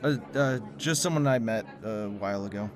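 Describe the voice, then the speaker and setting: A man's voice: lowish voice, normal speed, crowd noise, close to an everyday voice. An actor playing an exhausted male conspiracy theorist who stammers, laughs nervously and refuses to back down. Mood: explaining. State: exhausted from lack of sleep. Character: sleepless male conspiracy theorist, stubborn, principled. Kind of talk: audio drama acting.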